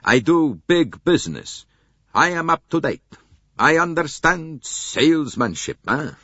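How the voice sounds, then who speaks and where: A man doing a gushing Italian salesman, loud. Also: a little high, sing-song, measured pace, no room sound, voice pushed up, exaggerated voice. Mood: boastful. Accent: slight Italian. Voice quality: gushing, expansive. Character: beaming, voluble, good-natured Italian male salesman. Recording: dull lo-fi tape.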